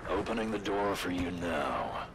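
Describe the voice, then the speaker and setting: An actor playing a gruff, territorial male colony governor in a video game, sardonic and grudging. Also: low-pitched, animated, unhurried, heavy background noise. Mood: grudging, wry. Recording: loud music and game sound behind.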